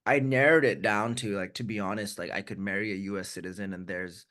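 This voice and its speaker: A man's voice: lowish voice, fairly even intonation, brisk, a bit bright. A man in his thirties, from India with an American accent, speaking as a webinar guest over a video call, telling his story candidly and reflectively with frequent restarts. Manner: frank, reflective, frequent restarts and repeated words.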